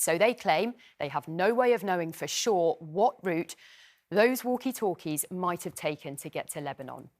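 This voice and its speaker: Woman, lowish pitch, news reporter, explanatory briefing.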